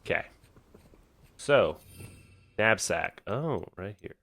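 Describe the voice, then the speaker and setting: A man's voice: lowish voice, animated, slow, fairly bright tone, a little hiss or hum. A man doing casual live playthrough commentary as a streamer, thinking aloud and playfully repeating phrases. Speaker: video game streamer. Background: game music.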